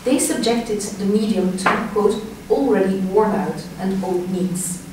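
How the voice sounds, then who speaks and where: A woman presenting a scripted academic paper aloud in formal, measured phrasing. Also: mid-range voice, darkish tone. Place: reverberant indoor room. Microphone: distant microphone. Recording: echoey room, distant mic, noisy background.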